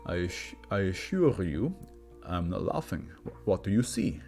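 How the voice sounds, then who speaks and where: A formal male research doctor, played by a man reading a story, low in pitch and detached. He speaks in a clinical, polite, measured way, over faint music. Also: sing-song, warm tone, heavily acted. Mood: clinical, composed.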